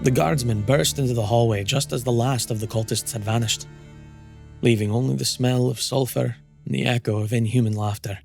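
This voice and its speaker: A man reading scripted dramatic fantasy narration as a storyteller, in a measured, solemn manner. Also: mid-range voice, fairly even intonation, creaky, a little mellow, faint music behind.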